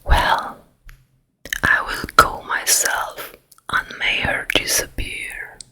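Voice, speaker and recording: Casting: actress for scheming evil stepmother queen, ASMR fairy tale, extremely slow breathy whisper, menacing and vain. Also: bright, forceful tone. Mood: gloating, menacing. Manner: very slow, repetitive, menacing murmur. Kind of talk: ASMR roleplay.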